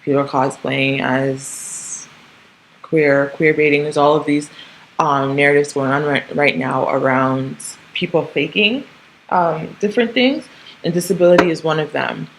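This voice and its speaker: A woman's voice: deep voice, steady tone, a little slow, a little hiss or hum, low-quality recording. A woman in her thirties who grew up in Canada talks through a casual solo podcast monologue, rambling with frequent ums, restarts and tag questions. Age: 30s. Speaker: podcast host.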